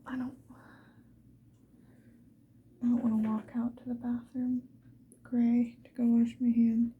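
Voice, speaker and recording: A woman's voice: fairly high pitch, flat delivery, normal speed, phone-quality sound, a little hiss or hum. A woman whispering breathily in a casual, rambling ASMR style, unhurriedly thinking aloud. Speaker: ASMR video creator.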